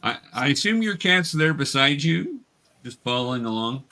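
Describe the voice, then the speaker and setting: A man working as game master, narrating a tabletop game to young players in a halting, explanatory way. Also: mid-range voice, very animated, bright, forceful tone. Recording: clear background noise, clicks.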